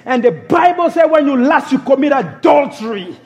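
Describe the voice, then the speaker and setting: A man's voice: extremely high pitch, sing-song, normal speed, lo-fi sound. A young man, a minister, preaching and then praying fervently, crying out in pleading phrases between calls to God. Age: young adult.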